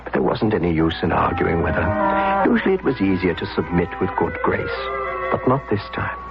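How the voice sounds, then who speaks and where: An actor playing a wry, breezy, rebellious upper-class son who narrates and banters in a period radio drama. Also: male voice, low-pitched, animated, moderate pace, creaky. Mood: reflective, wry. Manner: wry, playful, wordy banter. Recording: dull old radio recording with music.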